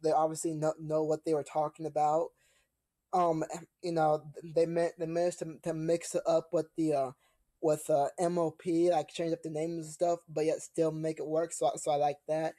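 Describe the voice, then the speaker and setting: A man hosting a reaction video with casual, halting commentary, often repeating words and phrases. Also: on the high side, level tone, warm tone.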